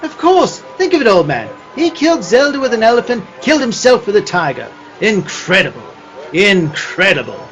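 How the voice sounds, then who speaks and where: A man playing a pompous, easily astonished old-fashioned gentleman sidekick in a comic radio play, with florid, theatrical delivery. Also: high-pitched, sing-song, normal speed, soft background music, exaggerated voice.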